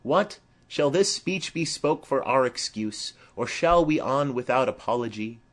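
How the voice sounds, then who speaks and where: A man's voice: medium pitch, expressive, a little slow, pitched above the performer's own voice, natural voice. A man voicing a heavy-hearted, lovesick young man in a poetic, mournful Shakespeare audiobook reading. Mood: gloomy.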